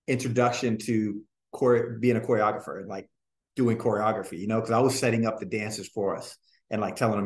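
A man from New Jersey in his forties recounts his past in a loose, chatty way. His voice sits low and the room around him rings a little. He strings thoughts together with plenty of fillers. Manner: relaxed, rambling, many "you know" fillers. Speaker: guest, singer and dancer. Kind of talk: casual interview storytelling. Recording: roomy echo.

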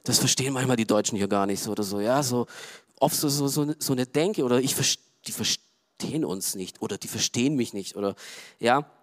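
A middle-aged man from Romania, preaching a sermon in a loose, conversational way, full of fillers and quiet asides. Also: mid-range voice, fairly quick. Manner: conversational, frequent "ja" and "ähm" fillers. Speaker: pastor.

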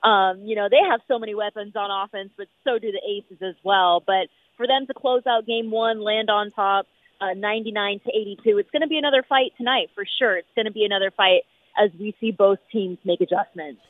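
Hoarse woman on a phone line. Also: medium pitch, no echo. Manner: upbeat, rapid, many fillers. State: losing her voice. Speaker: sports reporter guest. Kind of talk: phone-in sports radio chat. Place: noisy arena.

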